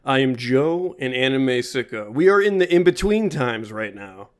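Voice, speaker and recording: A young man co-hosts a podcast, slipping in dry jokes during easygoing back-and-forth. His voice sits at an ordinary middle pitch. His delivery is relaxed and unscripted. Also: normal speed. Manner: dry, joking asides. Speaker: podcast co-host. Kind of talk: casual podcast chat.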